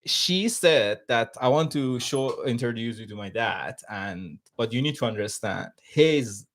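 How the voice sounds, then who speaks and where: A man's voice: mid-range voice, animated, measured pace. A man from Iran with a Persian accent, a podcast guest telling anecdotes casually in a joking way, often laughing through his words.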